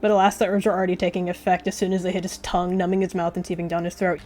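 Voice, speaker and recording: A woman's voice: lowish voice, little pitch movement, very fast, darkish tone. Woman narrating a game roleplay, music behind. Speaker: roleplay narrator, video creator.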